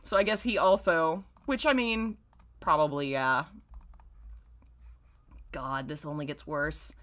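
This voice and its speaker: A woman reading a story aloud as host, in an expressive, theatrical way. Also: low voice, slow. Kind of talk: dramatic story reading with amused asides.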